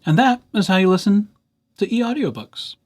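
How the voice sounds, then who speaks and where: Male tutorial host, slightly high voice, very animated intonation, casual and wry with playful asides. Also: normal speed. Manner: playful, dryly humorous asides. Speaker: library presenter, tutorial host. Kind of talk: casual screen-share software tutorial.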